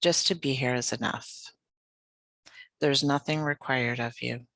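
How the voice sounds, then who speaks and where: A yoga nidra guide leading a guided meditation in a gentle, soothing, unhurried manner. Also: mid-range voice, fairly even intonation, no echo.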